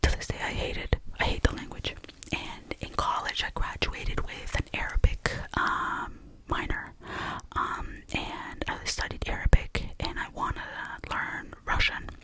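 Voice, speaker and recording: A woman's voice: unhurried, low-quality recording. Whispering young woman, Albanian-born. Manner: soft, rambling and digressive, with many fillers. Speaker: ASMR creator. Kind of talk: whispered ASMR Q&A.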